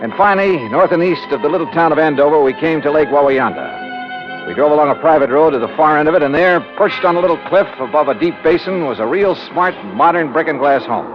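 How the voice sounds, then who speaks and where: An actor playing a wry, brisk insurance investigator who narrates in the first person, in an old radio drama. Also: male voice, a little high, lively intonation, lightly acted. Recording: dull old radio broadcast with music.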